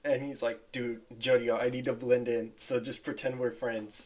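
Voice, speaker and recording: Man, mid pitch, informal podcast chat, muffled low-fidelity recording. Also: little pitch movement, normal speed. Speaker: podcast panelist. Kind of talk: casual group podcast chat.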